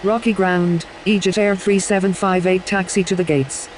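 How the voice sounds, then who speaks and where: A woman's voice: mid-range voice, steady tone, normal speed, a little mellow, a little hiss or hum, lightly acted, poor audio. A woman playing a businesslike airline pilot in a flight game with clipped, scripted radio phraseology. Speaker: airline pilot on air traffic control radio.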